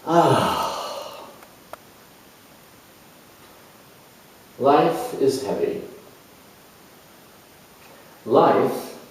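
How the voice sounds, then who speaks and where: Man acting out slow, burdened young man, echoey. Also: medium pitch. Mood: weary, bored. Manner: weary, halting, resigned. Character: bored, burdened young Capricorn man resenting his father. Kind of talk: dramatized monologue. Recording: distant mic in echoey room.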